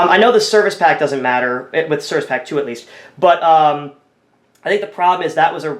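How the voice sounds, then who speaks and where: A man talks through his screen recording, thinking aloud and correcting himself as he goes. His voice sits a little high for a man. The sound is roomy and echoey. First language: English. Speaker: tech video creator. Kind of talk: casual screen-recording commentary.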